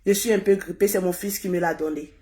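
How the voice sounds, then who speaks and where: A woman hosting a casual live stream, hoarse and husky from a cold, repeating herself as she urges viewers to share. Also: on the low side, steady tone, fast talker, warm tone. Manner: repetitive, urging viewers to share. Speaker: livestream host.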